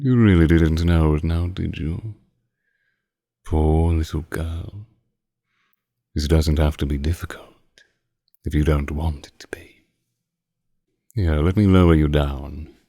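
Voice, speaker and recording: A man playing a seductive, possessive vampire in an intimate ASMR roleplay, teasing his captive with condescending tenderness in a slow purr. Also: very deep voice, vocal fry, mellow, dry recording, clean studio sound, exaggerated voice. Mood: mocking tenderness.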